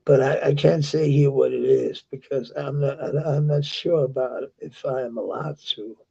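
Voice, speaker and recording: Danish guy w a really gravelly husky voice, talks slow, lo-fi sound. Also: mid-range voice, fairly even intonation, dark tone. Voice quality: gravelly, sore voice. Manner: halting, with many restarts and fillers. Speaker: vlogger. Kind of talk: rambling personal monologue.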